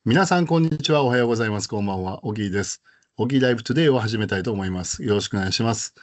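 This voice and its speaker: A man with a Japanese accent, a native Japanese speaker, hosting an online presentation meeting in halting speech full of fillers. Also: fairly low pitch, expressive. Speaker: host.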